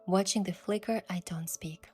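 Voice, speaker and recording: A woman voicing a curious young adventurer heroine, telling the story in the first person with earnest, expressive delivery. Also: lowish voice, moderate pace, breathy edge. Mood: narrating, gentle. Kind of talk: scripted first-person narration and dialogue. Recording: music behind the voice.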